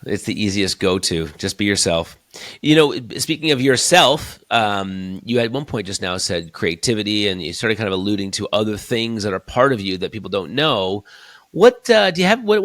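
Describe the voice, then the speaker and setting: A man in his fifties hosts a relaxed interview, and his pitch bounces all over as he tells stories. He sits a little low in pitch for a man, and the sound is dry and close, with no room around it. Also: fairly quick, no echo. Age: 50s. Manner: animated storyteller, acts out his own stage lines. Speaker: host and keynote speaker. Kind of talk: conversational interview.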